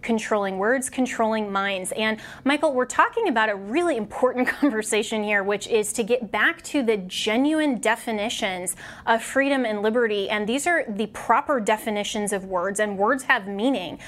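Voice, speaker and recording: A woman hosting a political talk show, leading the conversation in an earnest, measured way. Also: on the high side.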